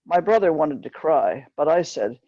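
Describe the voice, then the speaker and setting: A woman reads a narrative aloud in plain, measured storytelling phrases, like an author at a book reading. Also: low voice, big pitch swings. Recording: dull, roomy lo-fi recording.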